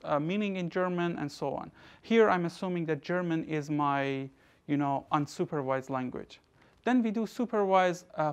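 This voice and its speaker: A young researcher lectures from the front of an indoor room, picked up by a distant camera. His talk is loose, full of restarts and "you know" fillers. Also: high voice. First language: Persian. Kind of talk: academic lecture.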